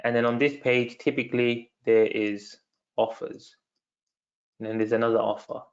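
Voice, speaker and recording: A man's voice: medium pitch, little pitch movement, moderate pace, highs cut off. A man from London with a London accent, hosting a live online marketing training, casual and full of fillers. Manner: casual, many fillers, talks to viewers as guys. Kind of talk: live webinar sales training.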